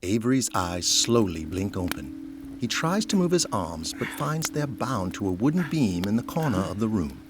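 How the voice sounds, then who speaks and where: A man's voice: lowish voice, big pitch swings, normal speed, noisy background. A man reading scripted dramatic narration for an audio drama.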